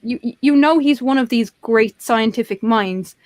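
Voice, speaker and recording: A woman's voice: a little high, unhurried, darkish tone, dry recording, studio quality. A middle-aged woman co-hosting a livestream chat, rambling and self-deprecating, laughing often. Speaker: co-host of a livestream talk show. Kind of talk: casual chat.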